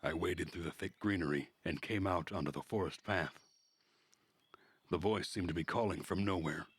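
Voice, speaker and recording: A man reads visual novel narration aloud in a measured, introspective manner. His voice sits very low and has a husky grain. The sound is close and dry, with no room on it. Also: dry recording. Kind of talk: narration of a story read aloud.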